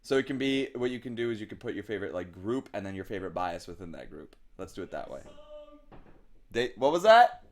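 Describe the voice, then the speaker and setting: This is a young man in his early twenties from Pennsylvania, co-hosting a casual livestream. His voice sits a little low. He rattles off viewer comments in an excitable, jokey way. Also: a little hiss or hum. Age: young adult. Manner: excitable, jokey, reads comments aloud quickly. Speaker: livestream co-host. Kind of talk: casual live-stream chat reading viewer comments.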